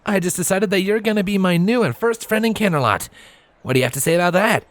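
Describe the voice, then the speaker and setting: Man putting on an excitable, cocky higher-pitched young stallion. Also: quick pace, no echo, lightly acted.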